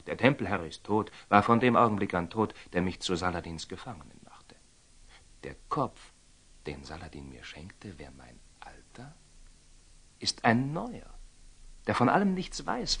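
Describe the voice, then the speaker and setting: An actor playing a proud, tormented young Knight Templar in a classical German radio drama, impulsive and declamatory, moving between muttering and outbursts. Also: male voice, low voice, husky, heavily acted. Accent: standard German.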